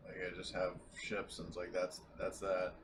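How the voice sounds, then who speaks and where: A man's voice: deep voice, monotone, normal speed. Male tabletop player, loose casual chatter with swearing, noticeable noise behind the voice. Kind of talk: casual chat.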